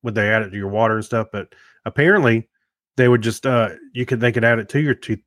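A man's voice: on the low side, fast, dry recording, studio quality. Easygoing male podcast co-host. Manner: relaxed, agreeable, joking asides. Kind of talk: casual tech news chat.

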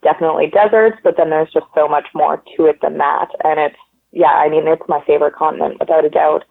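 A woman's voice: low-pitched, steady tone, fast, creaky. Guest: woman, chatty and rambling, phone-line audio. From Canada. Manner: rambling, many likes and ums, upbeat. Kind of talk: casual conversational interview.

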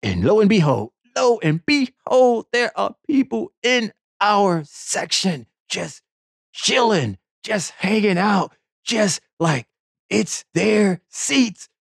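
A man's voice: high voice, sing-song, slow, gravelly, no echo, studio quality. A man hosting a sports podcast, telling a playful, boastful story in a rambling comedic monologue with lots of repetition.

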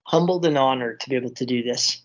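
A man's voice: medium pitch, fast, muffled recording. A middle-aged Canadian man chatting casually and effusively as an interview guest, rambling with frequent filler phrases. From small town near Vancouver, Canada.

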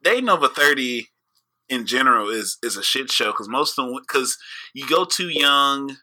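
A male co-host talks bluntly, swearing freely in loose banter. His voice sounds very bright and sits in a middling range for a man. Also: mid-range voice, expressive, moderate pace. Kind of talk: casual chat.

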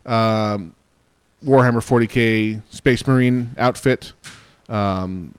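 A man's voice: fairly low pitch, fairly even intonation, slow pace, clean tone, no room sound. A man co-hosting a casual web show, joking and rambling with lots of fillers. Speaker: co-host.

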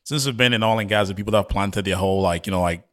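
A male podcast guest with a Ghanaian accent and a low voice. He speaks very quickly, in a casual, chatty and personal style. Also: fairly bright tone. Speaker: podcast guest, oil and gas professional. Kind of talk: casual chat, personal reminiscence.

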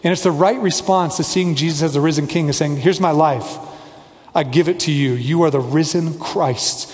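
A man's voice: a little high, moderate pace, darkish tone, big-room sound. A man preaching a sermon as pastor, earnest and conversational, with playful asides.

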